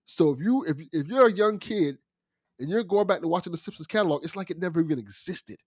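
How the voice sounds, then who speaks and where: A man's voice: fairly high pitch, animated, quick pace, no echo. A man in middle age talking as a guest in a casual podcast discussion. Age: 40s or older.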